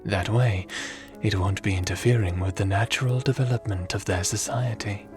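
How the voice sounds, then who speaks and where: Man playing a low-voiced, courteous scholarly sage. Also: steady tone, normal speed, music in the background, natural voice. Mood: pensive, curious. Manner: polite, pondering, formal. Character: courteous, scholarly, thoughtful male traveling sage. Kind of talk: fantasy audio roleplay acting.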